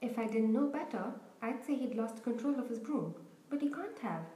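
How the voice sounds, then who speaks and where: A woman reading a storybook voices a big, gruff male groundskeeper giant with homely, dropped-letter speech. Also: on the high side, lively intonation, moderate pace, warm tone, natural voice. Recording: roomy echo.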